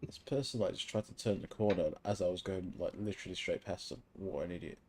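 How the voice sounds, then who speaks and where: A man's voice: low voice, fast, darkish tone. A man from England with an English accent, chatting casually as a live streamer while gaming, teasing and swearing casually.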